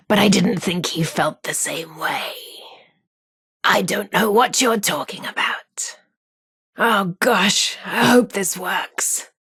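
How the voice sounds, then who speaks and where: An actress with a husky, breathy voice, playing a shy but sassy, lovestruck radio DJ in a video game. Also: female voice, lowish voice, fairly bright tone, no room sound, clean studio sound, exaggerated voice. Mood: surprised, eager, then nervous. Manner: informal, slangy, hesitant about feelings. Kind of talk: video game character dialogue.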